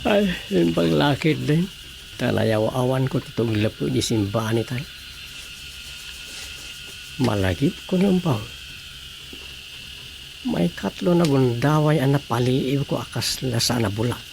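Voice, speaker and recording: A man's voice: medium pitch, animated, measured pace, gravelly, pauses often, background noise. An actor playing a suspicious, grumbling man in a radio drama, muttering and sighing as he talks. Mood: musing, doubtful.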